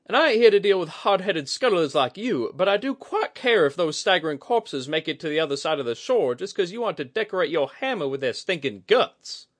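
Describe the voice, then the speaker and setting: A man voicing a hot-tempered, blunt seafaring woman in an audiobook story, snappish, with rough folksy speech. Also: high-pitched, very animated, brisk, hardly any pauses, a bit bright, pitched above the performer's own voice, heavily acted. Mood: scornful. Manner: snappish, rough colloquial grammar.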